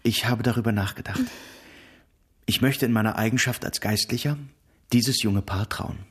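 Earnest, husky-voiced awkward clergyman. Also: on the low side, normal speed. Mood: solemn. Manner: formal, solemn. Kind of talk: German radio drama acting.

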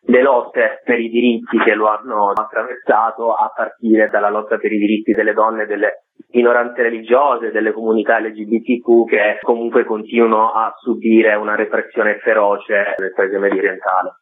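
A man's voice: lowish voice, flat delivery, moderate pace, slightly rough. A man speaking as a radio guest, earnestly presenting a documentary. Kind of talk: earnest spoken film presentation. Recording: tinny phone-like radio call-in line.

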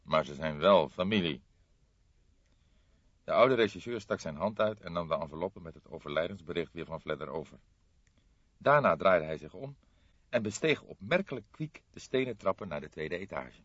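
A man's voice: low voice, expressive, fairly quick, a bit bright, husky, no echo, dull audio. A man narrating a scripted story as an audiobook reader.

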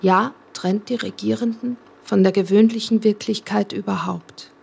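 A woman's voice: mid-range voice, fairly even intonation, normal speed, vocal fry, a little mellow, breathy edge. A woman reading scripted descriptions of images in a sober, matter-of-fact way. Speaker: narrator. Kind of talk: scripted narration of image captions.

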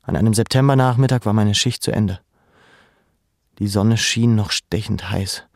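An actor playing a former camp inmate who narrates a literary memoir in a calm, measured, matter-of-fact way. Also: male voice, fairly low pitch, dry recording, clean studio sound, close to an everyday voice. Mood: sober, reflective. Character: male former camp inmate, sober, reflective storyteller. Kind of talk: literary first-person narration.

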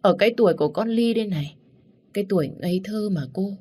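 A woman's voice: medium pitch, quick pace, dark tone, slightly rough, pitched above the performer's own voice, natural voice. A woman voicing a tearful older housekeeper who laments and scolds through her crying. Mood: lamenting.

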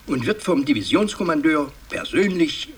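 An actor playing a pompous, fussy older aristocratic patriarch in a radio drama, speaking in a halting, ceremonious, long-winded way. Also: male voice, a little high, expressive, husky. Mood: solemn, fussy. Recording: distant, noisy 1960s radio recording.

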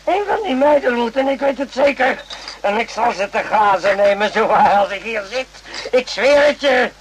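An actor playing a distraught, embittered military man in a radio play, talking in halting, agitated repetitions. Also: very high-pitched, expressive, a crowd in the background. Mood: agitated, vengeful. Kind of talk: Dutch radio play acting. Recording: noisy old radio drama recording.

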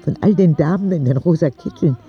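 A man's voice: fairly high pitch, brisk, muffled recording, soft background music, lightly acted. A man playing an earnest, candid ten-year-old sick boy who narrates his letters in a radio drama. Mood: candid, wistful.

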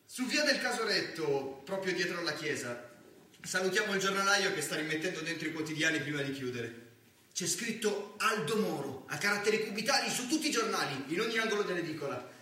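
A man's voice: high voice, expressive, brisk, bright, forceful tone. Anxious teenage boy, very echoey. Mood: vivid recounting. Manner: emphatic, colloquial, swearing. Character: anxious, restless teenage boy, streetwise student narrating his evening. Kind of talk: theatrical monologue in colloquial Italian. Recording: echoey theatre stage from far off.

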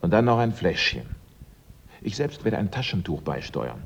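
A man playing a self-assured amateur sleuth in a German radio drama, speaking politely and deliberately. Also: low voice, big pitch swings, vocal fry, full character voice. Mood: deliberate, commanding. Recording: dull radio recording, faint noise.

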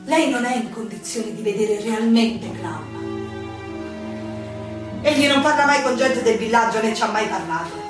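A woman's voice: fairly high pitch, expressive, moderate pace, close to an everyday voice. Actress as a stern, echoing innkeeper woman. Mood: indignant, proud. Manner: imperious, admonishing, formal. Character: stern, condescending innkeeper woman, protective and proud. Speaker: stage actor in a radio play. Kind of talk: theatrical radio drama dialogue. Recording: very echoey, distant, music behind.

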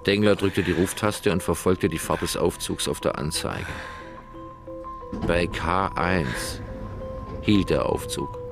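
An actor giving grave, scripted narration in a radio crime drama. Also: male voice, sits low, slow, gravelly, long pauses, over music, heavily acted.

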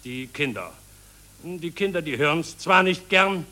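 An actor playing a pompous engineer-inventor who proudly declaims rhymed verse in a satirical radio play. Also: male voice, fairly high pitch, expressive, unhurried, pushed and bright. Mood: zealous, gloating. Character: pompous Berlin engineer-inventor proudly selling deadly plague.

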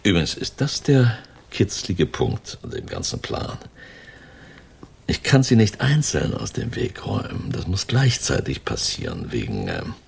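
A cynical middle-aged crook and lover in a radio crime play. His voice sits very low and is rough and husky. The delivery is sardonic and scheming, yet the intonation moves widely. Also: wildly swooping pitch, measured pace, full character voice. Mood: calculating, assured. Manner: sardonic, world-weary. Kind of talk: German radio crime drama acting.

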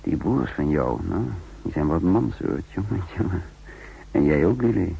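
An actor playing a shrewd, sardonic counter-espionage chief in a 1960s radio drama, musing dryly with thoughtful hums. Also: male voice, low-pitched, expressive, normal speed, gravelly, dark tone, husky, heavily acted. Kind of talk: 1960s Dutch radio drama acting with narration. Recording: dull, noisy 1960s radio recording.

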